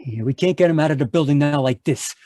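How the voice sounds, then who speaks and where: A man's voice: on the high side, fast, warm tone, no room sound, clean studio sound, close to an everyday voice. A man playing a brutish, impatient crook in an old-time radio crime drama, bossy and callous. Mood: irritated, bossy.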